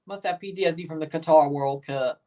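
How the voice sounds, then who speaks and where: A young man with a low voice hosting a livestream, giving blunt, opinionated hot takes while reacting to a soccer match and answering chat questions. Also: a little fast, far from the mic. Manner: excitable, jumps high in pitch when agitated.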